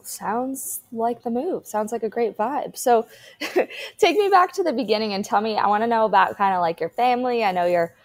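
A woman's voice: on the high side, animated, normal speed. A woman hosting a casual interview, asking loosely phrased questions in an informal, affirming way. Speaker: host and interviewer.

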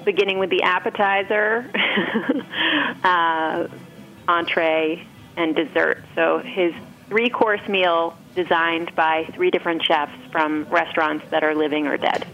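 A woman's voice: fairly low pitch, takes it slowly, fairly bright tone, dull audio. A woman chatting casually as a podcast guest, in a rambling, informal way. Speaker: podcast guest, food writer. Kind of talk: casual chat.

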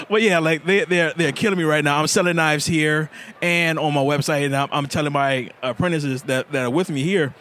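A man's voice: a little high, normal speed, fairly bright tone, a little hiss or hum. A man who makes knives, talking casually and earnestly in an interview at a festival, explaining step by step with plenty of fillers. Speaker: knife maker. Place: outdoor festival table.

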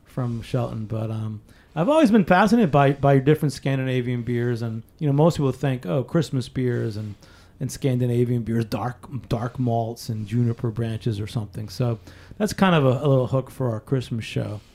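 A man's voice: mid-range voice, moderate pace, hardly any pauses, dark tone. Rambling playful radio host, man. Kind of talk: casual talk radio.

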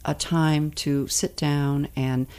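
A woman's voice: low voice, level tone, slow pace, mellow, smooth. A woman hosting a podcast interview, asking questions in a conversational, somewhat hesitant way. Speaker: podcast host. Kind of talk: casual interview.

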